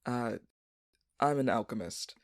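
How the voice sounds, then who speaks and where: An actor playing an earnest, modest young alchemist in an audio drama, explaining things politely and matter-of-factly. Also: male voice, medium pitch, expressive, unhurried, no echo, studio quality, close to an everyday voice.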